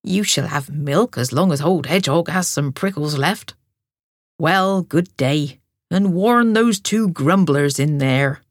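A woman voicing an old male hedgehog milkman in a storybook reading, speaking low and slowly. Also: dry recording, clean studio sound. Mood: kindly. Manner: slow, low and warm-hearted.

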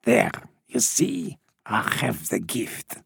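A man voicing an ancient, gruff, secretive male border smuggler in a storybook, hushed, creaky and muttering. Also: fairly low pitch, a little slow, dry recording, studio quality, voice pushed down, heavily acted. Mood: self-satisfied.

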